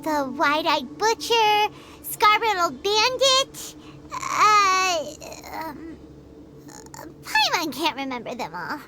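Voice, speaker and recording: A woman's voice: very high voice, sing-song, slow pace, very noisy, heavily acted. A woman voicing a small, chatty female fairy companion in a video game cutscene, eager and gushing and referring to herself in the third person. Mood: excitable.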